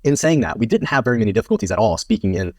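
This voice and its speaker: Low-ish guy talking fast, confident explainer, dry sound. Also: sing-song, darkish tone, dry recording. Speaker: video co-host. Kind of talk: conversational discussion.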